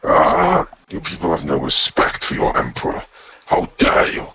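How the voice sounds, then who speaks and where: A man voicing a pompous, petulant villain emperor in a hobby radio play, imperious and indignant, with a gravelly, husky voice. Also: deep voice, wildly swooping pitch, normal speed, bright, forceful tone, lo-fi sound, full character voice. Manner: imperious, indignant, fussily literal.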